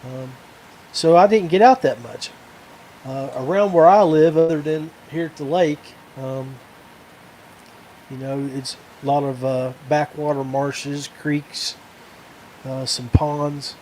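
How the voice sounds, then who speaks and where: A middle-aged man hosting a podcast alone, chatting casually in a halting, rambling way. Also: fairly high pitch, steady tone, a little mellow, low-quality recording. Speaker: podcast host. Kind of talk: casual solo chat. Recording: faint background ambient noise.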